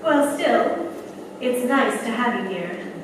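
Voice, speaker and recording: An actress playing a plucky, wisecracking wartime heroine in a staged radio play, spirited and wry. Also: female voice, fairly high pitch, animated, slow pace. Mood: friendly, wry. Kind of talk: staged 1940s-style radio play acting. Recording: echoey hall, distant mic, clear noise.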